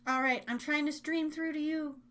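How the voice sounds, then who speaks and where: A woman's voice: high voice, fairly even intonation, normal speed, fairly bright tone. An American woman casually hosting a live online show, rambling along in a bubbly, informal way with her listeners. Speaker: host of a live online radio show and podcast.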